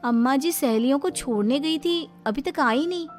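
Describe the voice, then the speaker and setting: A woman's voice: high-pitched, moderate pace, faint music behind, close to an everyday voice. A woman voicing a respectful, anxious young daughter-in-law in a cartoon family drama. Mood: worried. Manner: respectful, gently fretful.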